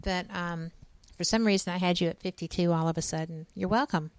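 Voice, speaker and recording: A woman in her late fifties talks casually and frankly on a talk radio show. Her low voice is gravelly with fry, yet smooth and resonant. The recording is dry and close. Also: steady tone, a little fast, dry recording. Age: 50s. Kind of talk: casual chat.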